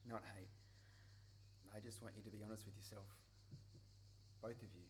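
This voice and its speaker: An actor plays a nice young man with a lowish voice. He is gentle and understated, in a radio play with faint hum behind. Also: fairly even intonation, normal speed, lightly acted. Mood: gentle, earnest. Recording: faint hum and background noise.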